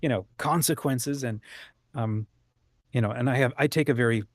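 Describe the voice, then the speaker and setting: A man's voice: mid-range voice, normal speed, heavy background noise. Rambling man hosting a casual chat. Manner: rambling, thinking aloud with hedged half-sentences. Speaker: host. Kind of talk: casual chat, interviewing a guest.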